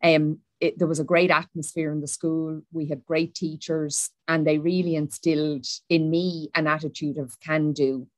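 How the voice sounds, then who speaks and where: A woman's voice: low-pitched, level tone, dry recording. A woman in her forties from Wicklow with an Irish accent, giving a prepared talk as a panel speaker on a webinar. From Ireland. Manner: measured, speaks Irish then repeats in English. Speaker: panel speaker, former pupil.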